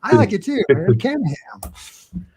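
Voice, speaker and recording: A man's voice: high voice, measured pace, a crowd in the background. A man co-hosting a casual live stream, joking around and laughing often. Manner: jokey, laughs often, animated. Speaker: podcast co-host.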